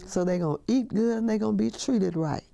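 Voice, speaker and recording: A woman's voice: mid-range voice, a little fast, creaky, mellow. A woman in her eighties, a restaurant greeter, speaking in informal interview remarks. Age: 80s.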